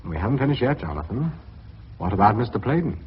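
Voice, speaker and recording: An actor playing a measured British vice chairman, formal and authoritative, with a husky voice in a radio drama. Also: on the low side, very animated, exaggerated voice. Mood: brisk, probing. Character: measured male vice chairman presiding over a tense board meeting. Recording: dull lo-fi radio recording.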